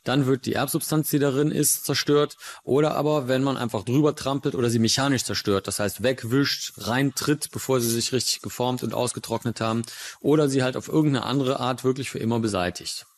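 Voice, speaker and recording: A man's voice: medium pitch, steady tone, brisk. A man giving an informal explanation as a forensic expert in a documentary interview.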